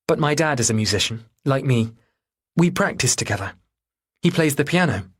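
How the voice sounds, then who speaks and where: A man's voice: medium pitch, lively intonation, normal speed, breathy edge, voice pushed up. A man voicing a polite, nervous young girl musician in an audiobook, with careful answers. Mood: stiff, rehearsed.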